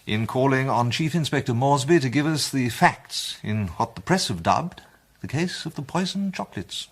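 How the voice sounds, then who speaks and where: An actor playing a pompous, self-satisfied English president of a detective club in a radio play, speaking formally and oratorically in an upper-middle-class accent. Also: male voice, medium pitch, expressive, normal speed. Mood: relishing, conspiratorial. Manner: formal, oratorical, savouring his phrases. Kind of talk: 1920s-set British radio play acting.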